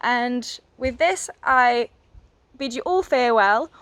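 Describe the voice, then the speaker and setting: A woman's voice: fairly high pitch, lively intonation, slow pace, fairly bright tone, no room sound. A young woman, raised speaking French and English, teaching a beginner French lesson and carefully modeling each word. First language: French and English bilingual. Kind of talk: language lesson.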